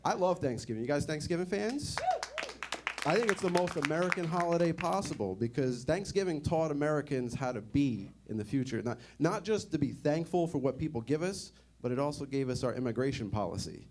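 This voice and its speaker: A man's voice: a little high, expressive, fairly quick, a little hiss or hum. A young man doing a stand-up comedy set in a conversational, sardonic way, often asking the audience questions. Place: comedy club stage.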